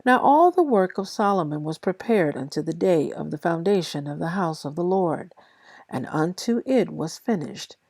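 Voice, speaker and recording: A woman reads scripture aloud in a lowish voice with a gravelly creak. She is a little tired and moves very slowly and deliberately through short phrases. The sound is dry and close, with no room around it. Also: expressive, darkish tone, no room sound. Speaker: Bible reader and host of a daily reading series. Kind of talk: scripture read aloud with brief casual introduction.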